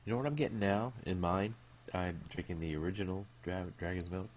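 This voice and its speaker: A man's voice: low voice, brisk, slight background noise. A man co-hosting a beer tasting podcast, joking around and laughing in a loose, casual way. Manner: joking, animated, laughs often. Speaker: podcast co-host.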